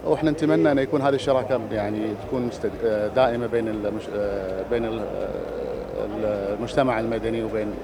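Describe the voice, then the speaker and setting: Very fast-talking official man. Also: on the low side, creaky. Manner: formal, with halting restarts. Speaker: official representative. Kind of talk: formal event statement.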